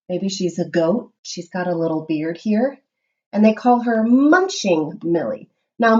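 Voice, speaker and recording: A woman teacher giving a read-aloud lesson to young children, explaining simply and reading with expressive emphasis. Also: mid-range voice, dull audio. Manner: instructional, simple explanations with check-ins. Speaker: primary school reading teacher.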